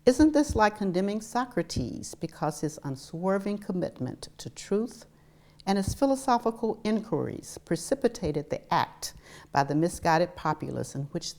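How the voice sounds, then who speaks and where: Female reader, deep register, very expressive melody, formal historic text with emphasis. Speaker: reader at a commemorative public reading. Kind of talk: reading a historic letter aloud.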